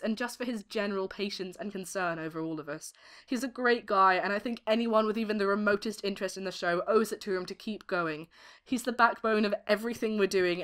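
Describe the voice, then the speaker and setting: A young woman giving articulate, expressive interview answers as an amateur voice actress. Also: medium pitch. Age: young adult.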